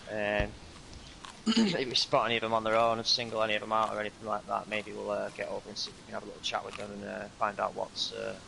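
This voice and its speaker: A man leading a roleplay squad, briefing the group in a calm, steady, instructive way with frequent uhs. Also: on the low side, gravelly, slight background noise, poor audio. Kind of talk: in-character tactical briefing.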